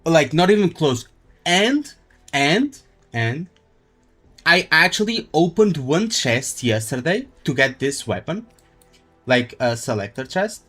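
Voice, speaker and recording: A man live streaming a game, chatting casually in a halting, rambling way as he thinks aloud. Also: a little high, very animated, a bit bright, faint music behind. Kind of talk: casual gaming stream chat.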